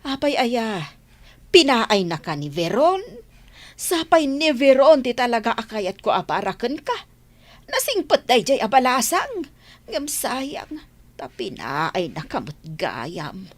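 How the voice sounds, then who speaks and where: A woman's voice: a little high, big pitch swings, slow pace, full character voice. A woman playing a fussing, opinionated middle-aged village mother of a grown son in a radio drama. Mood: curious, regretful.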